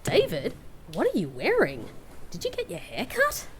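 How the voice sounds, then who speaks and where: A woman's voice: fairly high pitch, huge pitch swings, normal speed, slight background noise. An actress playing a sarcastic, defiant young woman in a fantasy comedy audio drama, wry and outspoken. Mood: bewildered.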